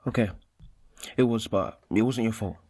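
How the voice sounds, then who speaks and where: A man's voice: lowish voice, darkish tone, dry recording, clean studio sound. A man acting as an awkward, placating young man in a naturalistic radio play, speaking hesitantly.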